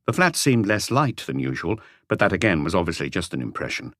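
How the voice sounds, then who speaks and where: A man's voice: low-pitched, big pitch swings, brisk, fairly bright tone, no echo, studio quality. A man reading scripted narration as the narrator of a mystery audiobook.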